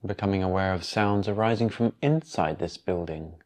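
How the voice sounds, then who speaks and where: A man guiding a yoga nidra meditation in a calm, unhurried, instructional manner with long pauses. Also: low-pitched. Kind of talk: guided meditation.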